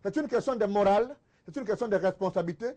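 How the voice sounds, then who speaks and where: Congolese guy in a political tv debate, high voice, rapid, emphatic, repeats himself to hammer points. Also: mellow. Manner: insistent, emphatic, repeating phrases for stress. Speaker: debate panelist, political commentator.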